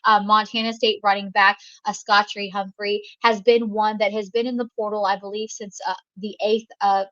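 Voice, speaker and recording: A woman's voice: medium pitch, little pitch movement, normal speed, a bit bright, no echo, highs cut off. A woman co-hosting a college football show, chatting with many fillers and restarts. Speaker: co-host. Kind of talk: sports talk commentary.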